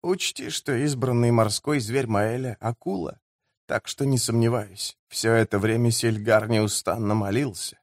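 A wry, friendly rogue, played by a male narrator in a fantasy audiobook. His voice is pitched up and animated, full of ironic asides. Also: moderate pace, no echo, studio quality. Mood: sardonic.

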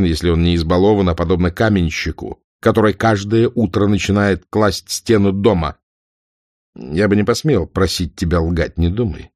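A man narrating a novel in a measured, even storytelling manner, reading close to the mic. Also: deep voice, dry recording. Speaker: audiobook narrator. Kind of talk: audiobook narration of a spy novel.

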